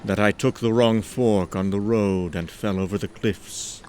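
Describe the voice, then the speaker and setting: A man performing a haunted, horror-struck narrator of eldritch horrors in a theatrical, deliberate gothic style. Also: on the low side, fairly even intonation, slight background noise, lightly acted. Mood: bleak, haunted. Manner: theatrical, deliberate, swinging from hushed whispers to anguished cries.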